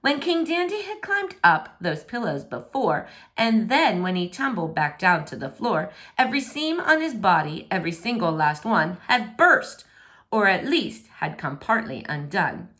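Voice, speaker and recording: A woman narrating a rhyming children's story aloud in an expressive style. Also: medium pitch, unhurried.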